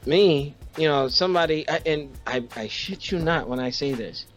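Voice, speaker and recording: A man's voice: on the high side, normal speed, faint music behind. A man co-hosting a podcast, telling personal stories casually, stopping and repeating words as he makes his point. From Haiti. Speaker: podcast co-host.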